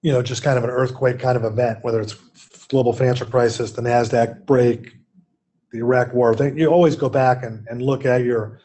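An older man speaks over a video call from a home office, his tone mellow and relaxed. He answers questions in a thoughtful way and often repeats small words while he works out his point. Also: mid-range voice, brisk. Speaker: investment firm executive, guest. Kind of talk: keynote conversation, answering questions.

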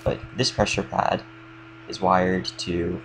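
A young man with a slight cold, casually explaining a game build in a relaxed, rambling way with lots of fillers. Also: sits low, takes it slowly, noisy background. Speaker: game tutorial creator. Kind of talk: casual gameplay tutorial commentary.

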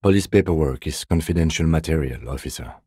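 A man playing a composed, meticulous police lieutenant detective in a video game, speaking in a precise, deadpan, politely reserved way. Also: very deep voice, quick pace, husky, dry recording, clean studio sound, full character voice. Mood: firm, instructive. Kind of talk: video game character dialogue.

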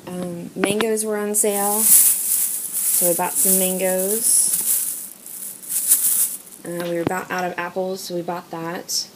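A woman's voice: lowish voice, level tone, slow pace, pauses often. An American woman vlogger chatting informally as she talks through things she is filming on a handheld camera. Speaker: home vlogger. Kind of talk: casual haul voice-over while filming. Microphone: handheld camera or phone, filming items. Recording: noisy handheld amateur recording, clicks.

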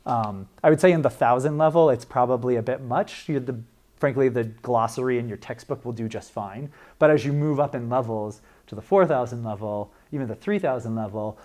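A man's voice: mid-range voice, brisk, darkish tone. A young man, a librarian, teaching a class in a casual, chatty way with frequent fillers and restarts. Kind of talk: informal classroom talk.

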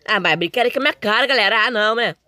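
A young man with a Brazilian accent and a slightly hoarse voice, doing casual, slangy gameplay commentary as a video host. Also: high-pitched, very fast, bright, forceful tone, no echo. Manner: informal, slangy.

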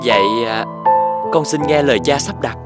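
A man playing a poor, kind young woodcutter in an animated folk tale, speaking modestly, politely and a little hesitantly. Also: mid-range voice, normal speed, loud music behind the voice, natural voice. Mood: hesitant, deferential.

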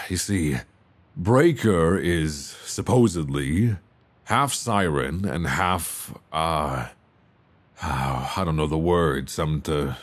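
Guy acting worried mafia boyfriend, asmr roleplay, deep voice, slow and halting, tender. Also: exaggerated voice. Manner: hesitant, sighing, halting. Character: worried, tender mobster boyfriend, adult man.

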